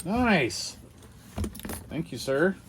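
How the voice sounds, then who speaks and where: A man's voice: fairly high pitch, very animated, drawn-out words, noisy background. An older American man chatting casually as he hosts a hobby unboxing video, rambling along in a folksy, self-deprecating way.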